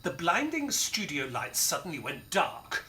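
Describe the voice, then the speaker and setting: An actor playing a polite, earnest detective's companion who narrates an audio drama in a formal, theatrical storytelling manner. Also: male voice, on the high side, huge pitch swings, measured pace, pushed and bright, slight background noise, heavily acted. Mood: dramatic narrating.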